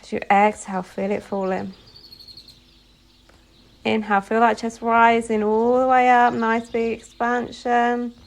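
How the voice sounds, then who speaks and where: A woman leading a guided meditation as a yoga nidra instructor, giving soothing instructions phrase by phrase with pauses. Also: a little high, flat delivery, vocal fry.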